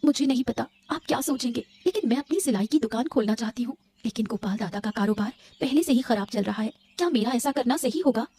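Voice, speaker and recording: A woman voicing a gentle, unsure young wife in a Hindi cartoon, speaking politely and tentatively. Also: on the high side, fast talker, hardly any pauses, breathy edge. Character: gentle, unsure young wife wanting her own shop. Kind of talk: Hindi moral-story cartoon voice acting. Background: music.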